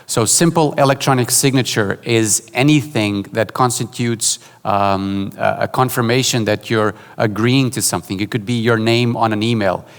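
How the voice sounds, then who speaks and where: A man from Portugal giving a conference keynote, relaxed and joking, with many fillers and restarts. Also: lowish voice, moderate pace, hardly any pauses. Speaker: keynote speaker. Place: large conference hall. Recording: hall reverb.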